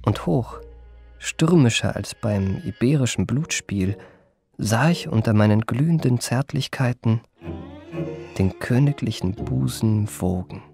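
An actor playing a worldly, amorous memoirist narrating a radio drama, husky and gravelly, with ornate, savouring delivery. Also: male voice, mid-range voice, darkish tone. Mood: rapturous. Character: worldly, amorous male memoirist recalling his adventures.

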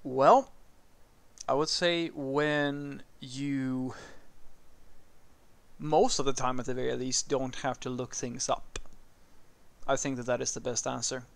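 Swedish-born male host, gravelly mid voice, loose conversational delivery with fillers, filmed walking outdoors. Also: mid-range voice. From Sweden. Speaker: programming channel host. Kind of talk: casual solo talk to camera. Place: outdoors on a suburban street.

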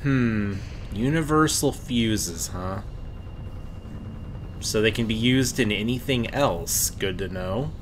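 A woman's voice: very deep voice, lively intonation, takes it slowly, background noise. A woman giving casual let's play commentary, thinking aloud in a playful way. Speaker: let's player.

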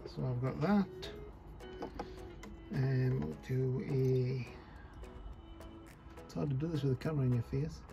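A chatty man talks through a fly tying tutorial at his desk, joking at his own expense and thinking aloud as he goes. His voice sits at a middling pitch. Music plays clearly underneath. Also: unhurried, pauses often, highs cut off. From North East England. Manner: chatty, self-deprecating, thinks aloud while working. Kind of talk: casual off-the-cuff tutorial.

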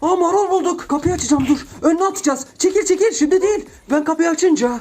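A woman hosting a children's play video as a parent, in an excited, play-acting style, exclaiming and repeating short commands. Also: extremely high pitch, quick pace, soft background music.

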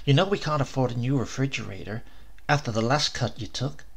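A man's voice: medium pitch, fairly quick, vocal fry, slightly rough. A man voicing a tired, fretful housewife in a dramatised story reading, complaining in a resigned way.

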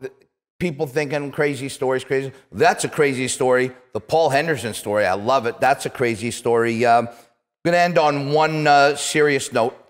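A Canadian man in his fifties hosting a casual interview, telling stories in an animated, emphatic way and repeating words for punch. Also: mid-range voice, moderate pace. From Toronto, Canada. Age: 50s. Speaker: interview show host, retired athlete. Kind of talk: casual conversational storytelling. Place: small office-like studio set, indoors. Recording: echoey room with long reverb.

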